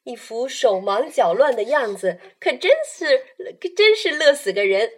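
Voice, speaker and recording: A woman narrating a children's radio play as a storyteller, in an animated style. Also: on the high side, moderate pace.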